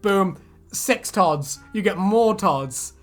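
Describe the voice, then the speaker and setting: A woman's voice: medium pitch, animated, takes it slowly. A woman co-hosting a casual reaction chat about fan predictions, with a jokey, sweary, giggly manner. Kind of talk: casual banter commentary. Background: music.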